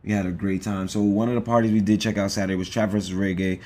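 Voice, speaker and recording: A man's voice: lowish voice, flat delivery, quick pace, a little mellow. A man co-hosting a podcast in casual chat, rambling and joking with lots of fillers. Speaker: podcast co-host.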